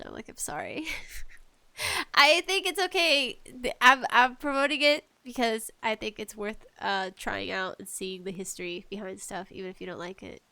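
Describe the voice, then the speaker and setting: A woman hosting a casual solo podcast about games, talking in an animated, playfully exasperated way with emphatic delivery. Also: mid-range voice, gravelly. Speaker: podcast host.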